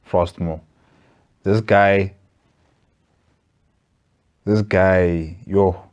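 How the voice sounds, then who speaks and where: A man's voice: low voice, a little mellow. A man hosting a reaction video, giving casual commentary and thinking aloud in a hesitant, reflective way. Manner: hesitant, reflective, repeating words.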